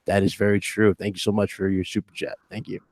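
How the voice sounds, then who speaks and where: A man's voice: fairly low pitch, flat delivery, fast talker, darkish tone, dry recording. A man hosting a casual gaming livestream, chatting informally and teasing his co-hosts as he talks to the audience. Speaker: host of a gaming podcast livestream. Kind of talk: casual live-stream chat and news commentary.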